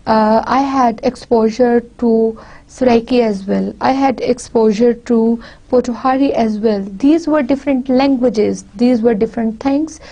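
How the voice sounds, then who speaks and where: A woman from Pakistan with a Pakistani accent, a native Punjabi speaker, giving a university lecture deliberately, often repeating and restarting phrases. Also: fairly high pitch, mellow, lo-fi sound. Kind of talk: recorded lecture.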